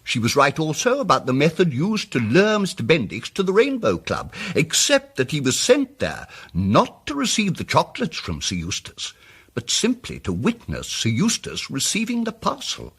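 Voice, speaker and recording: A mild, apologetic amateur detective in a radio mystery, his fairly high voice rising and falling dramatically. He is hesitant, fussy and politely precise, with a husky edge. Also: wildly swooping pitch, moderate pace, fairly bright tone, heavily acted. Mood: earnest, explanatory.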